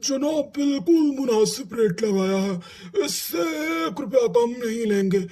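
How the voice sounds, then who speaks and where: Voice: medium pitch, unhurried, mellow, close to an everyday voice. A performer voicing a proud, stubborn male livestock seller who haggles firmly in a children's cartoon. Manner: firm, emphatic haggling. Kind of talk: Hindi children's cartoon dialogue.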